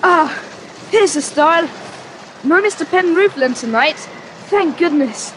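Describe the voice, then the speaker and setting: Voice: sits high, expressive, measured pace, a bit bright, slight background noise, rough recording. A performer playing a game but cautious young schoolboy adventurer in a children's audiobook drama, earnest and well-spoken. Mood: eager, cautious.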